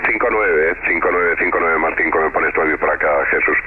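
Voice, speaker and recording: A man's voice: sits low, fairly quick. A man with an accent from Spain, chatting casually as an amateur radio operator over the air. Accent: Spanish from Spain. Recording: dull radio audio with clear static.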